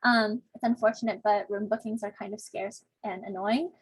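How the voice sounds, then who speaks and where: A woman's voice: mid-range voice, fairly even intonation, normal speed, husky, dry recording, poor audio. A young woman from Southern California, a teaching assistant casually opening an online discussion section over a video call, chatty, with lots of fillers and self-deprecating asides. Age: young adult.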